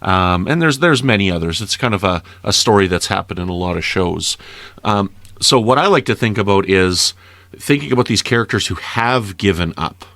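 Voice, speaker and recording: A man in a casual interview-style discussion, explaining patiently and asking gentle questions. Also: sits low, expressive, moderate pace, dry recording. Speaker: guest commentator, interviewer.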